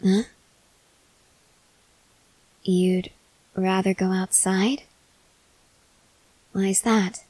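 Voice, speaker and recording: A woman playing a stoic, confident young woman soldier in an ASMR roleplay, speaking in a measured, serious, guarded way with hesitant pauses. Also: mid-range voice, level tone, a little mellow, no room sound, low-quality recording. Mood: cool, confident. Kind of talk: ASMR roleplay, acted scene to a listener.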